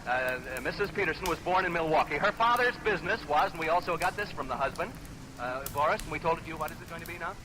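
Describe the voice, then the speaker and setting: High-pitched American man hosting vintage radio show. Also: lively intonation, quick pace. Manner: chatty, showman-like patter with repeated catchphrases. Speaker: radio game show host. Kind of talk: 1940s live radio quiz show hosting. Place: live radio stage. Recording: noisy vintage 1940s radio broadcast recording.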